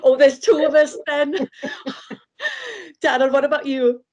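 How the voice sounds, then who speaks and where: Chatty middle-aged woman host, bright high voice with wide swings, speaking over a video call. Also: takes it slowly. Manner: lively, chatty, laughs easily. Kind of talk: bilingual interview hosting. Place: home room with bookshelves.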